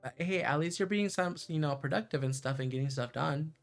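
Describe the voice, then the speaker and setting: A man's voice: on the high side, brisk. A man livestreaming in a playful manner, switching between casual chat and reading visual novel narration aloud. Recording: music under the voice.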